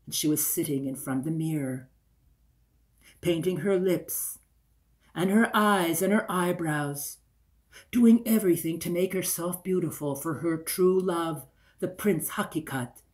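Male storyteller, 60s, unhurried and deliberate delivery, theatrical folktale narration. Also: a little high. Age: older, 60s. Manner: deliberate, repeats phrases for effect. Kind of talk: dramatic oral folktale storytelling. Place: small room indoors by a window.

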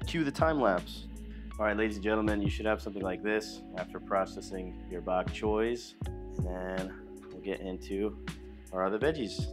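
A young man talking viewers through a recipe in a small studio kitchen set, relaxed and chatty, with music playing underneath. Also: on the low side, moderate pace. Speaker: cooking vlogger. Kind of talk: casual cooking demonstration. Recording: clear background music under voice.

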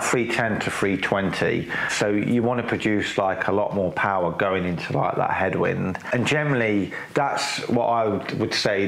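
A man's voice: on the low side, fairly bright tone, slight background noise. A man in his forties, a cycling coach, explaining technique to camera in a loose, chatty way full of fillers and restarts, seated in a small room. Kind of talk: casual explanatory talk to camera. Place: small room with grey wall, seated in chair. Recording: echoey room.

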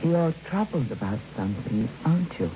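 A kindly middle-aged woman in an old radio play. Her voice is very low and mellow, moving expressively as she earnestly counsels. The sound is muffled, with faint noise. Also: sing-song, normal speed. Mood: earnest, insistent. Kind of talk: old radio drama acting.

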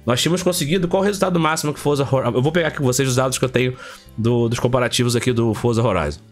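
A man's voice: mid-range voice, racing, faint music behind. A man hosts a casual tech vlog, congested with a heavy cold, speaking emphatically and colloquially and repeating phrases for effect. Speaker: tech YouTube channel host.

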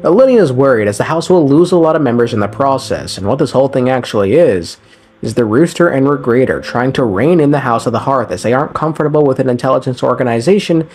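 A young man recaps a game story in a casual but scripted way. His mid-pitched voice swings up and down in a lively fashion, with dry, irreverent, slangy asides. Faint guitar music plays underneath. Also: fast. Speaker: game lore video narrator.

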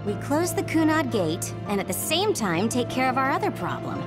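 A woman's voice: sits high, sing-song, moderate pace, music in the background. A woman playing a supportive, girlish teammate in an anime game dub. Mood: understanding.

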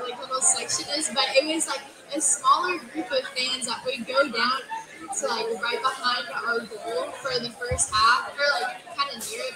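A young woman soccer player answering casually, chatty with many fillers. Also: sits high. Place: brewery event. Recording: distant mic in echoey room, loud crowd and music.

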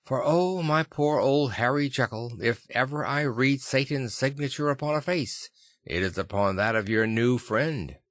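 A man voicing a perplexed, earnest gentleman lawyer in an audiobook, musing and emphatic. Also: mid-range voice, moderate pace, dry recording, clean studio sound, lightly acted. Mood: troubled, fervent. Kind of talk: dramatic audiobook character reading.

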